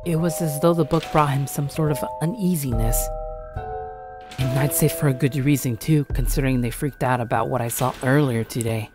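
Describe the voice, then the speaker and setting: An anxious young grandchild, acted by a woman tells a horror tale in the first person. The voice is low and the delivery earnest and dramatic, with clear music underneath. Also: normal speed. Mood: uneasy narration.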